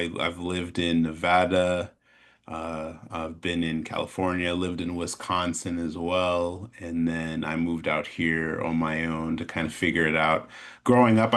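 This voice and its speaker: Interview guest: American man, deep and level voice, casual, halting storyteller. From Chicago, American Midwest. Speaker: interview guest, animation studio founder. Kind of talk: casual chat, telling his story.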